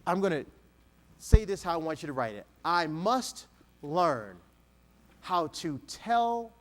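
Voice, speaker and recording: Man from Texas, motivational speaker, higher voice, swooping expressive pitch, jokes with audience. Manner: animated, dramatic pauses, slow emphatic dictation. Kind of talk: keynote speech to an audience.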